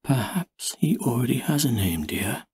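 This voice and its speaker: Male reader doing a soft tender mum, gently coaxing, husky, storybook style. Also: mid-range voice, big pitch swings, unhurried, no room sound, heavily acted. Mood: gently persuasive. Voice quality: soft, quiet. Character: quiet, tender mother, gently persuasive.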